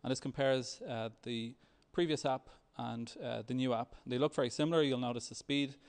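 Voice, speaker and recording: A man giving a software talk with a live demo, speaking in a halting, hesitant way. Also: mid-range voice, steady tone, clear, resonant tone. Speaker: software developer presenting at a tech meetup.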